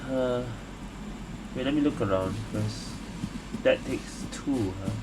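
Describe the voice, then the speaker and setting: Very low-voiced woman gamer, casual. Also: slow pace. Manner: muttering reactions, thinking aloud. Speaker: gamer playing and reacting. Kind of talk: casual game commentary. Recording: noisy home mic with hum.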